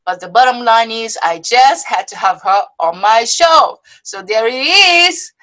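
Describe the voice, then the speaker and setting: A woman's voice: on the high side, measured pace. Bubbly Japanese-accented woman hosting. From Japan, Osaka. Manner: bubbly and excitable, laughs often. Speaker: host. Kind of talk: video-call interview show. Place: indoors before a movie-themed backdrop. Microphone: webcam video call.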